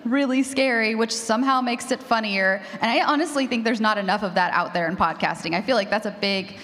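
A woman's voice: fairly high pitch, a little fast, fairly bright tone. A woman speaking as a panelist in a live discussion, informal and thoughtful. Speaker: panelist, horror fiction podcaster. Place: large echoey room at a convention panel. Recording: echoey hall.

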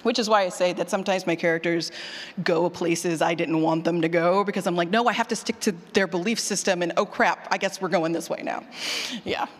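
An animated presenter speaks to a live session in a conversational, emphatic way, like a teacher addressing students. The voice sits at a middling pitch and carries the long reverb of a hall. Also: fairly quick. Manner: animated, informal, emphatic, repeats questions aloud. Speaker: speaker presenting a writing workshop, former college teacher. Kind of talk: conference talk with audience questions. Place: indoor conference session room or hall.

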